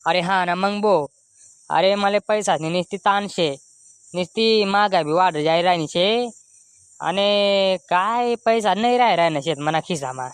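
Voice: medium pitch, a little slow, smooth, no echo, close to an everyday voice. A performer voicing a worried, broke village man in a comedy cartoon, with a Khandeshi Ahirani accent.

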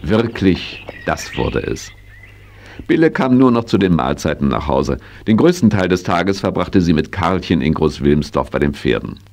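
An actor narrating a children's horse story in a 1980s audio drama, telling it expressively. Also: male voice, low-pitched, very animated, normal speed, darkish tone, slight background noise. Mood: storytelling.

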